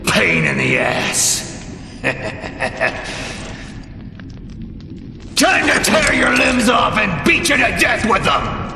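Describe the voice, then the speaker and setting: A man playing a cocky, sadistic video game villain, taunting theatrically in a gravelly voice that swings between hushed menace and shouting. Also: fairly high pitch, sing-song, slow, pauses often, heavily acted. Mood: vicious rage. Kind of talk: video game cutscene acting. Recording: echoey hall reverb under music.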